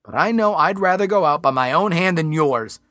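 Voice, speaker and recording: A cornered, desperate man making his final demands, agitated and defiant, with a lively voice that rises and falls, as a male narrator plays him. The sound is dry and close. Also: a little high, normal speed, no echo, voice pushed up. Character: desperate man holding off rescuers from a boat.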